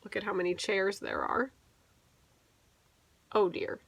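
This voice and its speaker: A woman's voice: medium pitch, very animated, unhurried, vocal fry, a bit bright, slightly rough, no room sound. A woman teacher reading a picture book aloud to young children, expressively and with little explanatory asides.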